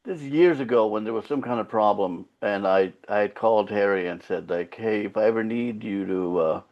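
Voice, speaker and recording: A Finnish-born singer whose first language is Finland Swedish, telling stories in a loose, profane way as an interview guest. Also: male voice, fairly low pitch. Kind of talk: casual interview chat. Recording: slightly dull lo-fi sound.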